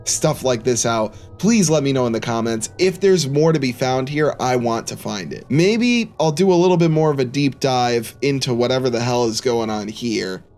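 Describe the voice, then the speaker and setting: A man's voice: mid-range voice, lively intonation, normal speed, hardly any pauses, darkish tone. A man in his thirties giving casual, conversational commentary to his viewers as a gaming YouTuber. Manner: informal, conversational. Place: small indoor room, seated at a desk. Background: music.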